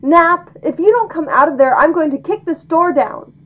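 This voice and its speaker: A woman playing a bossy, impatient, sharp-tongued demon girlfriend in an audio drama. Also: very high voice, expressive, moderate pace, phone-quality sound, slight background noise. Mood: impatient, irritated.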